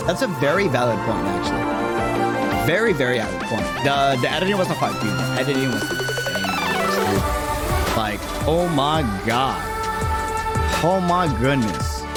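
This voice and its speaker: A man's voice: on the high side, very animated, normal speed. A young man hosting a livestream reaction, geeking out in casual, slangy, rambling commentary with an enthusiastic manner. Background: music playing behind the voice.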